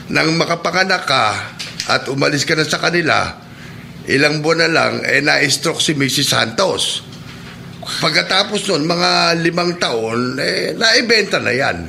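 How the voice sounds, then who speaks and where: A man playing an excitable elderly neighbourhood baker in a Filipino radio drama, halting and repeating himself. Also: on the high side, creaky, a little hiss or hum, exaggerated voice. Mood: grave, gossiping. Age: older. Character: excitable elderly male neighbourhood baker full of old news.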